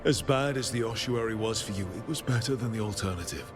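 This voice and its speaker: An actor playing a steadfast, reassuring heroic companion and leader in a video game, earnest and persuasive. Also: male voice, lowish voice, quick pace, lightly acted. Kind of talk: dramatic video game acting. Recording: music behind the voice.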